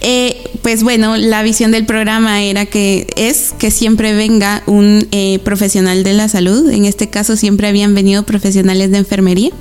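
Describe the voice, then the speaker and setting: A woman's voice: medium pitch, little pitch movement, normal speed, hardly any pauses, darkish tone, clean tone, faint music behind. A woman, a guest in a radio interview, speaking hesitantly with many fillers and easy laughs. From Guatemala. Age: 20s. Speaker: interview guest, rural social worker.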